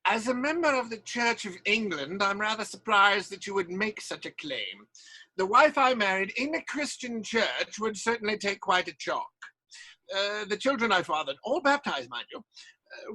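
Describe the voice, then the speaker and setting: Man playing an indignant, raspy, bright-voiced playwright. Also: sits high, heavily acted, poor audio. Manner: sardonic, pleading, commanding. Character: indignant, wry male Elizabethan playwright running a theatre. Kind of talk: theatrical audio play acting.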